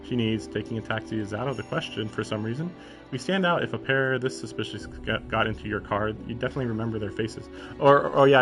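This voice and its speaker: A man live-streaming, reading a story aloud and adding informal, questioning commentary of his own. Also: mid-range voice. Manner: informal, questioning asides between read passages. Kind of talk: reading narration aloud with casual, puzzled running commentary.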